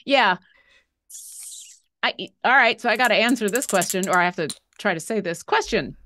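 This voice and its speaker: A woman's voice: mid-range voice, animated, normal speed, pushed and bright, slight background noise. A young woman co-hosting a miniature-painting livestream, joking around and reading viewer questions aloud from home. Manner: playful, jokey, reads viewer questions aloud. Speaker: co-host learning to paint miniatures. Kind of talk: casual livestream chat. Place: indoor home room.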